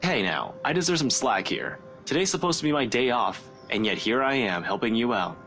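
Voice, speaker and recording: A man's voice: medium pitch, sing-song, fairly quick, fairly bright tone, soft background music. A man playing a cocky, defensive young man in a visual novel game, speaking in a wry, casual way. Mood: cocky, joking. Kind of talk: voice-acted visual novel dialogue. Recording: clear background noise.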